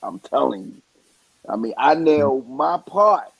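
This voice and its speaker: A stage actor in a casual chat, telling about his scene with excitement and animation. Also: medium pitch, a little slow, gravelly, slight background noise, poor audio.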